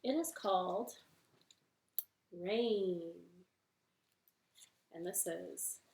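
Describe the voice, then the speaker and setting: A woman's voice: on the low side, drawn-out words, vocal fry, a little mellow, reverberant. A woman hosting a library storytime, reading to children in a cheerful, sing-song way from her living room.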